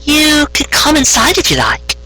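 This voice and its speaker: Man putting on a high-pitched sweet female farm pony. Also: huge pitch swings, moderate pace, pushed and bright, dry recording, full character voice. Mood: hopeful, inviting. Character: kindly, flustered female farm pony, hopeful.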